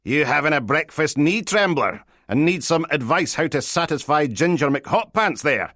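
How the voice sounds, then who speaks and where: A crude, sardonic senior policewoman, voiced by a male narrator. Her voice sits higher and louder, with a sleazy, mocking swagger. It is an audiobook performance, recorded close and dry. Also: expressive, moderate pace, no room sound. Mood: leering.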